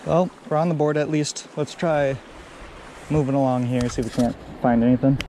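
A man's voice: medium pitch, normal speed. Young man vlogging beside a stream. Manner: relaxed, talks to viewers as he fishes. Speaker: fishing vlogger. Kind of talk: casual narration while fishing. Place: outdoors by a creek and pond. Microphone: head-mounted action camera. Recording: clear water and outdoor noise behind. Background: running stream water.

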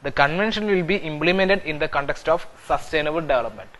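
A man's voice: on the high side, lively intonation, fast talker, fairly bright tone. A young man teaching an exam-prep class, repeating key points with frequent "okay" and "so", recorded in a studio classroom. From India. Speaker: civil services exam teacher. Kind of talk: classroom lecture on video. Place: indoor classroom studio with whiteboard screen.

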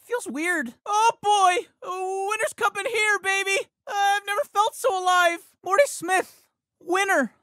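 An anxious teenage boy for a video game, his voice pitched very high and bright, and he keeps stumbling over his words in a jittery stammer. It sounds like a dry studio recording with no room around it. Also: no echo, full character voice. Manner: stammers and repeats words, cracks into yelps. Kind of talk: game voice lines. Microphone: studio microphone.

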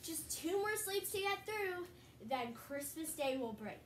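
A woman's voice: extremely high pitch, lively intonation, a little slow. A young girl co-hosting a casual storybook reading, chatting and reading aloud. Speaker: co-host and storybook reader. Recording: distant mic, roomy echo, faint noise.

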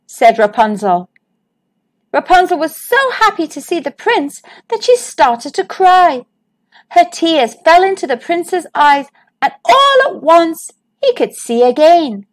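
A woman reads a fairy tale aloud for children, her voice high and light. She takes her time over every phrase, and her pitch rises and falls in a lilting, sing-song way. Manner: slow, sing-song storytime delivery. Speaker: storyteller, channel host. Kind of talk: reading a picture book aloud to children.

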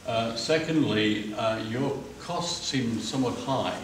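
A man from the audience asking pointed questions in a loud voice, reeling off cost figures. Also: medium pitch, slow, a little hiss or hum. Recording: very echoey hall from far off.

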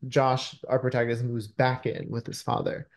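A man in his thirties speaks with a noticeably gravelly, creaky edge at an average male pitch. He explains things earnestly and conversationally, as an interviewed writer on a video call. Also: flat delivery, moderate pace. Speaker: screenwriter being interviewed. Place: indoor room at home, video call. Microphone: video call microphone.

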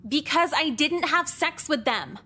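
A jaded high school girl for a visual novel game. Her voice sits fairly high, and she delivers everything with blunt, dry sarcasm and a deadpan edge. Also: measured pace, full character voice. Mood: irritated, defiant.

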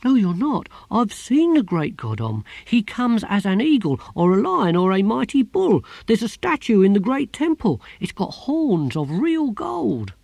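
A man's voice: high voice, huge pitch swings, unhurried, creaky, hardly any pauses, a little mellow, no echo, voice pushed up, heavily acted. A man playing a timid, bewildered novice. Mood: nervous. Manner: hesitant, bewildered questioning. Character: timid young male novice gardener, simple-minded.

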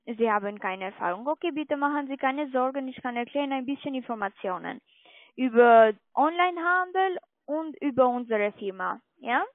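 High-pitched non-native woman on a phone. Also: animated, dry recording. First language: not German. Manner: halting, ungrammatical German. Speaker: telephone sales caller. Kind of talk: cold-call sales pitch. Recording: tinny narrowband phone line.

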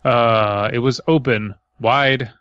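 A man with a bright, sharp-edged voice, captured close and dry. He co-hosts a comedy podcast, reading passages aloud and tossing in mocking remarks. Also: medium pitch, fairly even intonation, slow, clean tone, no room sound, lo-fi sound. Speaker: podcast host. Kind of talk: comedic read-aloud with casual commentary.

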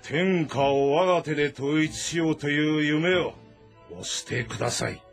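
A male actor playing a blunt, calm physician of ancient China, speaking formally and deferentially in an audio drama. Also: on the high side. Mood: calm, advising. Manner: measured, deferential, matter-of-fact. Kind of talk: audio drama dialogue in formal, old-fashioned speech. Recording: voice over background music.

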